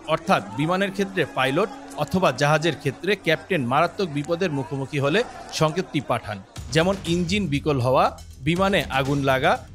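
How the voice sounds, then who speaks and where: A man reads a scripted news explainer as a narrator. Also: fairly high pitch. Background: music bed.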